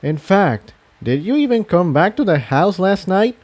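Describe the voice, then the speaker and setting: A man's voice: sits high, very animated, a little slow, darkish tone, pitched above the performer's own voice, exaggerated voice. A man voicing a wary, anxious female pony with a worried, questioning manner in a fantasy story reading. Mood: groggy, questioning.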